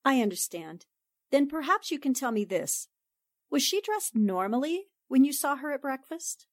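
An actress plays a sharp-eyed newspaper reporter in a 1940s-style radio drama. Her voice sits fairly high and is recorded dry and close. She is poised, precise and politely probing. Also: very animated, no room sound. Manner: polite, precise, deliberate questioning.

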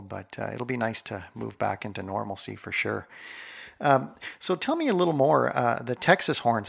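A man hosting a music podcast in a mid-range voice with a lot of gravelly fry. He asks questions in an easygoing, encouraging way and praises his guest's work. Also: medium pitch, a bit bright. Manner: friendly, encouraging interviewer. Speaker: podcast host. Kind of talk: casual interview hosting.

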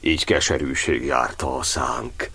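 A man's voice: extremely low pitch, fairly even intonation, gravelly, bright, forceful tone, husky, full character voice. An actor playing a fervent, grieving churchman, declaiming an impassioned poetic monologue in a radio drama. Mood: mournful. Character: fervent, grieving churchman preaching faith amid war.

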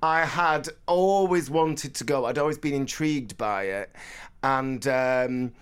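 A man from Bolton in England in his forties, co-hosting a casual radio chat show, animated and teasing, laughing often. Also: mid-range voice, measured pace, clear, resonant tone. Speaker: co-host.

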